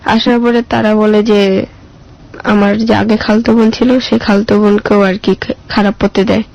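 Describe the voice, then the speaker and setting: A woman's voice: mid-range voice, little pitch movement, moderate pace, gravelly, darkish tone, highs cut off. A girl in her mid-teens with a colloquial Bangladeshi accent, telling her own story simply in an interview with short, plain answers.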